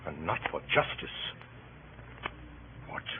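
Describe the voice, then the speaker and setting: A man's voice: slow pace, lightly acted. An actor playing a stern, righteous Roman nobleman with rhetorical, scornful delivery in a classical radio drama. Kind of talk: classical Shakespearean radio acting. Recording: tinny, noisy old radio recording.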